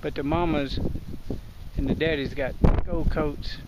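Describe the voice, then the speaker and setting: An older American man with a Southern Midwest twang chats folksily to a camera held at arm's length, his voice sitting fairly high for a man. He's outdoors by a country pasture, and clear wind noise rushes over the recording. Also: steady tone, muffled recording. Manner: folksy, talks to llamas in a high coaxing voice. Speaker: cyclist vlogger. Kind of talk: casual chat to camera. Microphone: handheld camera at arm's length.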